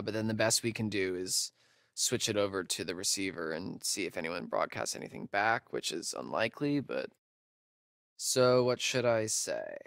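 A man's voice: fairly low pitch, vocal fry, a bit bright, no echo, studio quality, heavily acted. A young man with an American accent playing a sarcastic, nervy camp counselor in a video game, speaking in a hesitant, stammering way. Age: young adult. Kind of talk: naturalistic video game acting.

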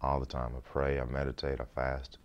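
A man in his thirties with a deep voice, a comedian chatting in an interview in a small studio, easygoing and laughing often, restarting words as he thinks. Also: completely flat delivery, fairly quick, lo-fi sound. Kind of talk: relaxed interview chat. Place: small indoor studio set.

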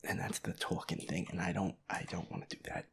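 A gamer giving hushed, breathy horror game commentary in a nervous, hesitant, profane mutter. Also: deep voice, little pitch movement, fairly quick. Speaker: gamer playing a ghost-hunting game.

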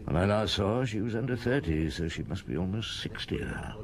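An actor playing a middle-aged chief inspector, reflective and measured, in a British radio drama style. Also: male voice, fairly low pitch, expressive, crowd noise. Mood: musing. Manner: measured, musing, clipped sentences. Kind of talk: British radio drama acting, with interior monologue. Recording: old radio broadcast with background bustle.

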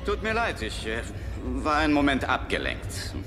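A man's voice: a little high, wildly swooping pitch, moderate pace, over loud music. A man playing a suave, unruffled secret agent with a wry manner in a film dub. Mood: wry, cool. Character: suave, cool-headed male British secret agent. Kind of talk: film acting, German dub.

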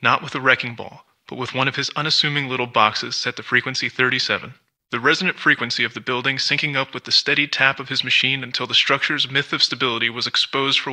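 Brooding scientist, very sharp bright voice. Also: male voice, medium pitch. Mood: brooding, reflective. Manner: formal, reflective, grave. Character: guarded, brooding, paranoid male scientist. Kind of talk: video game monologue, recorded journal.

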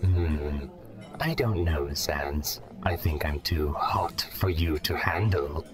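A suave, smouldering fire creature, acted by a man in a cartoon. The voice is extremely deep, hushed and very slow, under loud music. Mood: teasing. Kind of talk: comic dub cartoon acting.

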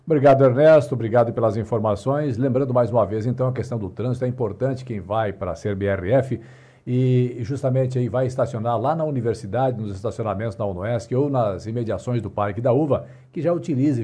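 A male news anchor speaks from a studio desk. His mid-range voice is husky and warm in tone. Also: mid-range voice, a little fast. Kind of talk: news presenting. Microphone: desk studio microphone.

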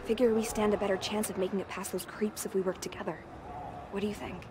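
An American actress playing a tough, practical young survivor, urgent and plain-spoken, in a zombie game. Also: female voice, mid-range voice, steady tone, quick pace, noisy background, close to an everyday voice. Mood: resolute. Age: young adult. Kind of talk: dramatic game cutscene acting.

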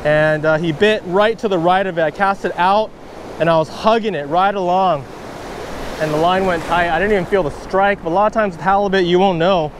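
A man vlogging while surf fishing, talking casually and excitedly through his technique, recorded on a wearable camera on a beach. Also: high-pitched, brisk. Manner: excited, bursts of stoked exclamations. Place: outdoors on an ocean beach, wading in the surf. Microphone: wearable action camera, first-person view. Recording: faint ocean surf noise.

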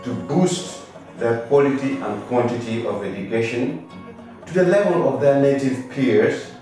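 Middle-aged South Sudan-born man, formal measured delivery, roomy distant sound. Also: medium pitch, mellow, soft background music. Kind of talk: formal prepared statement. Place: indoor room. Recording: echoey room, mic at a distance.

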